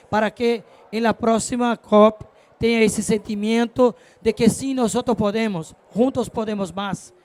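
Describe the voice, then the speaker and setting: High voiced brazilian guy, native portuguese speaker, fired up panel talk, slips portuguese into his spanish. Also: a little mellow. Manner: mixes Portuguese words into Spanish. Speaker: recyclers' movement leader, panel speaker.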